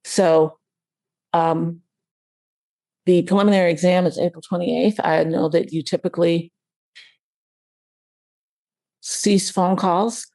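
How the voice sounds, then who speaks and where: A woman speaks as a defense attorney in court. Her voice is fairly low with noticeable vocal fry. She argues haltingly, repeating words, with a dry sound. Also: steady tone, warm tone, no room sound, poor audio. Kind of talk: courtroom argument.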